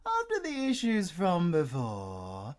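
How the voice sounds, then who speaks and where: A flamboyant British showman villain, played by a man, addresses listeners in a cheeky, theatrical audio drama style. The delivery is unhurried and swings wildly in pitch, full of campy teasing. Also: huge pitch swings, heavily acted. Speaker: voice actor, channel host. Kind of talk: theatrical audio roleplay introduction.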